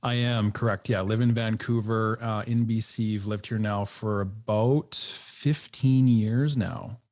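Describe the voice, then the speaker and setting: A middle-aged man from northern Alberta, a retired police officer, talking calmly and reflectively as a podcast guest. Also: lowish voice, measured pace. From northern Alberta, Canada. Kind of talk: casual podcast chat.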